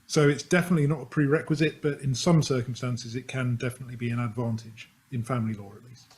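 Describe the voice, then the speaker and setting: A man's voice: mid-range voice, steady tone, quick pace, slight background noise. Earnest family law barrister, a man. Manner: earnest, considered. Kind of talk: panel discussion answer.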